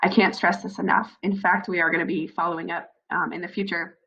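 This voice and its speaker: A woman's voice: lowish voice, flat delivery, brisk, vocal fry, fairly bright tone, highs cut off. A woman with a scratchy, froggy voice from a cold, earnestly giving encouraging advice with frequent fillers. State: hoarse from a cold. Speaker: speaker advising new military spouses. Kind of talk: informal advice talk.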